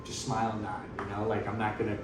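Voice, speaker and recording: Male guest, 30s, relaxed and rambling, low voice, distant echoey noisy recording. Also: fast talker. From grew up in Thailand. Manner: relaxed, rambling with frequent fillers. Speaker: missionary guest. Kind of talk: casual storytelling. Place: room in Thailand.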